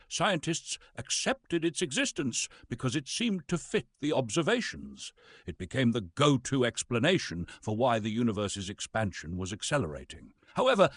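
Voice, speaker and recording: A man playing a pompous, disgruntled actor who narrates science scripts theatrically, with a husky voice and dramatic emphasis. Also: medium pitch, fairly bright tone, dry recording, studio quality, full character voice. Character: pompous, disgruntled male Shakespearean actor reading physics lectures.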